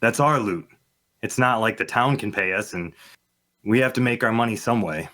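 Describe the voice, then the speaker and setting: A man playing a gruff, greedy, impatient mercenary henchman in a fantasy audio drama. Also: lowish voice, normal speed, fairly bright tone, natural voice. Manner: blunt, impatient.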